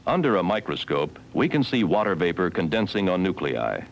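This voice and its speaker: A man narrating a scripted educational film in a measured, formal, instructional manner on a 1970s soundtrack. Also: low-pitched, sing-song, creaky, slight background noise. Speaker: documentary narrator.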